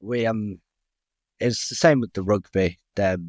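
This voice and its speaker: Young male guest, low register, dry close mic, candid and talkative. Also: steady tone, measured pace, a little mellow, no room sound. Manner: open, frank, chatty, restarts with "I'll be honest". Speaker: guest boxer. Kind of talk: candid podcast interview.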